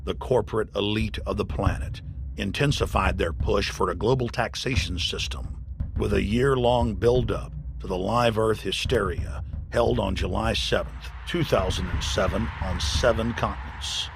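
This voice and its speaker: A man with a gravelly voice reading scripted, accusatory documentary narration in a forceful way. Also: lowish voice, moderate pace. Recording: voice-over with clear background noise.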